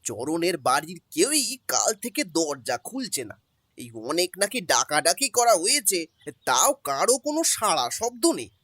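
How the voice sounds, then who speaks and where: A man acts out an anxious male servant in a detective radio play, with a bright, forceful, husky voice. He is deferential yet urgent, stammering in homely phrases, and his pitch swings widely. Music plays behind him. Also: very animated, measured pace, dry recording, full character voice. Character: agitated, deferential male household servant bringing alarming news. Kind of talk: audio detective drama acting.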